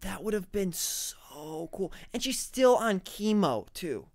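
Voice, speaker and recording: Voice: mid-range voice, lively intonation, slow pace, darkish tone, dry recording. A podcast co-host in a casual film review chat who talks in a chatty way, often saying "like.". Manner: chatty, leans on "like" and "I'm like".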